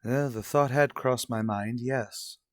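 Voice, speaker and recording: A man's voice: medium pitch, slow pace, no room sound, clean studio sound, natural voice. A man playing a self-assured, dismissive father and husband in an old-fashioned radio soap, formal and slightly pompous. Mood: composed, formal.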